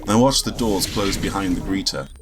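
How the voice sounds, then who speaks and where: A man playing a grumpy, sardonic space agent in a sci-fi audio drama, with a husky voice and a wry, grumbling manner. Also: low voice, animated, moderate pace, music in the background. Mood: wry narrating. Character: grumpy, trigger-happy male space agent, sardonic and exasperated. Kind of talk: first-person sci-fi audio drama narration and dialogue.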